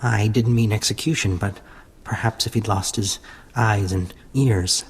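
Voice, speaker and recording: An actor playing a humble, aggrieved alien native labourer who speaks formally in a video game. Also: male voice, lowish voice, measured pace, breathy edge. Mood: solemn.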